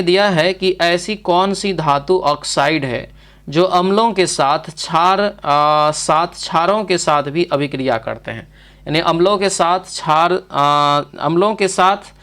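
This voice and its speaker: A man's voice: fairly high pitch, moderate pace, a bit bright, smooth. A man with a Bihari Hindi accent teaching through exam questions, often checking that listeners follow. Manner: instructional, repeatedly checking with listeners. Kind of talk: exam question walkthrough lesson.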